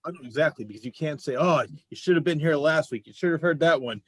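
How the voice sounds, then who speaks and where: A man's voice: fairly high pitch, quick pace, breathy edge, no room sound. A man hosting a casual interview, talking in an agreeable, encouraging, conversational way. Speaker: interview show host.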